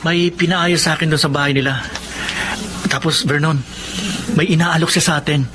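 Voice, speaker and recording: Man acting a patient young man, rather high-voiced, noisy recording. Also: steady tone, moderate pace, natural voice. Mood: explaining, eager. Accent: Filipino. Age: young adult. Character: young working man, patient and quietly hopeful. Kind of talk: Tagalog radio drama acting. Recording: noisy radio drama recording with ambience.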